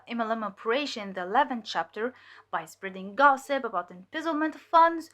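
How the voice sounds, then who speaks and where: Casting: actress as haunted female undercover agent, higher-pitched, halting and emotional, fearful and guilt-ridden, audio drama testimony. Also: a bit bright.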